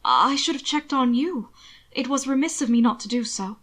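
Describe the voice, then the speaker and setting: A woman voicing a frightened, suspicious sister in an audiobook, breathy and thick with tears, speaking insistently. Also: high voice, animated, moderate pace, a bit bright. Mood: tearful, frightened. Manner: agitated, insistent, emphatic. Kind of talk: audiobook character dialogue.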